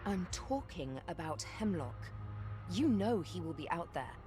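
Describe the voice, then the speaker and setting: A woman's voice: on the low side, very animated, a little slow, darkish tone. An actress playing a stern, bitter warrior woman in a fantasy video game, speaking tersely and solemnly. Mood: resolute. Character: stern, bitter, determined warrior woman, former Republican soldier. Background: game music.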